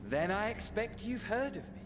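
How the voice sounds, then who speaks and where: An actor playing a flippant, sinister sorcerer villain in a video game, languid and theatrical with coy pauses. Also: male voice, sits high, very animated, background noise. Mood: smugly musing. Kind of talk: video game cutscene acting.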